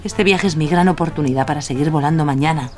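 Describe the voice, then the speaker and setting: A woman's voice: deep voice, fast talker, faint music behind, close to an everyday voice. A woman playing a determined, spirited young aviator in an audio drama. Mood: determined, persuasive. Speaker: lead actress and in-character narrator.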